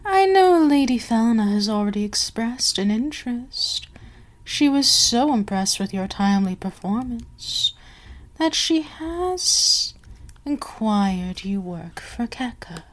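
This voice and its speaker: A woman playing an imperious, seductive and menacing vampire queen in an ASMR audio roleplay, haughty and deliberate, with some words sinking into a whisper. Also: a little high, expressive, low-quality recording. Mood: sly, amused. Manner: slow, deliberate, haughty, commanding, dropping into whispers.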